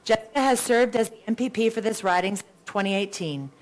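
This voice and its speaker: A woman's voice: medium pitch, a little fast, poor audio. A Canadian woman moderating a live candidates' debate, chatty, with playful self-deprecating asides and a big voice. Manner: says she has a really big voice.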